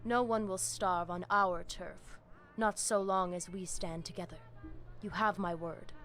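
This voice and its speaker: An actress playing a diplomatic, scheming vampire pack leader in a video game, speaking in a measured, formal and controlled way. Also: female voice, lowish voice, steady tone, fairly bright tone, faint music behind. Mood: assured.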